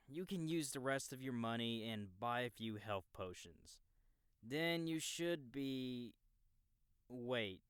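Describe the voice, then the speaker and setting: A man voices a young male gamer guide in an audiobook drama. He is cocky and casual, with slangy, teasing talk. His delivery is slow and clean, recorded dry and close. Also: medium pitch, fairly bright tone, clear, resonant tone, no room sound. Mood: casual, helpful. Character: cocky, laid-back young male gamer guiding a newcomer. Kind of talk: audiobook character dialogue in a game-world story.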